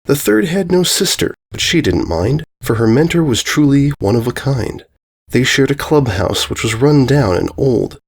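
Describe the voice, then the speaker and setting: A man with a medium-pitched voice reads a rhyming children's tale. His cadence is measured and singsong, with very lively rises and falls. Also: creaky, husky. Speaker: story reader. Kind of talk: rhyming children's storybook narration.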